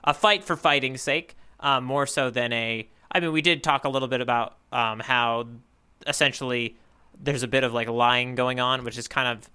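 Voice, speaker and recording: A man's voice: medium pitch, fairly even intonation, moderate pace, bright, forceful tone, clear, resonant tone. A man co-hosting a podcast, chatting casually in a thoughtful, analytical way. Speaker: podcast co-host. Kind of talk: casual manga discussion chat.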